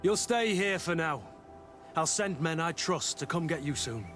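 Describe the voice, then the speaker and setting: Weary, higher-voiced veteran soldier. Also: male voice, lively intonation, measured pace, a bit bright, soft background music. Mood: resolute, weary. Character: weary, loyal veteran mercenary soldier, plain-spoken and resolute. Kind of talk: video game dialogue acting.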